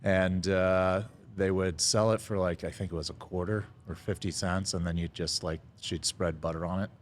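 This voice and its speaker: A middle-aged man, a guest telling his life story in a casual interview, pausing often on "uh" and chuckling now and then. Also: sits low, steady tone, slight background noise. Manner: halting, lots of "uh" fillers, chuckles now and then. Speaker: restaurant founder, podcast guest.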